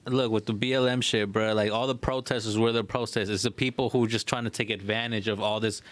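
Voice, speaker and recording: A man's voice: fairly low pitch, fairly even intonation, fast, a bit bright. A man co-hosting a podcast in casual chat, blunt, slangy and dismissive. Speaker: podcast co-host.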